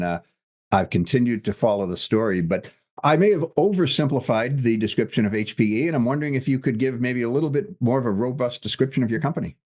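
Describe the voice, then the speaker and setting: Male podcast host, lowish voice, chatty, jokey, rambling questions. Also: darkish tone. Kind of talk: interview hosting.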